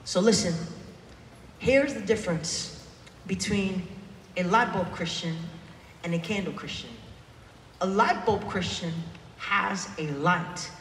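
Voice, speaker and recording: A man's voice: sits high, slow, long pauses, a crowd in the background, noisy background. Young man preaching through a hall PA. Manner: call-and-response preaching, repeating key phrases. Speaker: youth preacher. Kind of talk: sermon. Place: large convention hall stage, heard from the audience. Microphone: handheld mic through PA, phone in crowd. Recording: echoey hall, distant phone capture.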